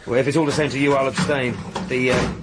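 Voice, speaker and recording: An actor playing a plain-spoken English police sergeant in a radio drama, deferential and doggedly sensible. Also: male voice, mid-range voice, steady tone, quick pace, noisy background, close to an everyday voice. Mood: puzzled, reluctant. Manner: deferential, down-to-earth. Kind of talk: BBC radio drama acting.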